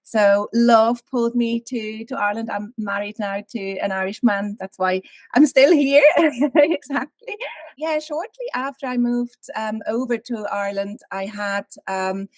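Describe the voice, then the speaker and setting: Interview guest, woman from Switzerland, 30s, animated storyteller, dry close sound. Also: fairly high pitch, unhurried, dry recording. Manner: candid, animated storytelling with frequent laughs.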